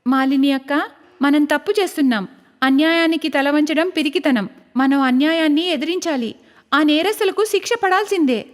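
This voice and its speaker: A woman's voice: sits high, normal speed, in a large hall, lightly acted. A woman voicing a timid young village daughter-in-law in a cartoon story who speaks in an anxious, earnest way. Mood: determined.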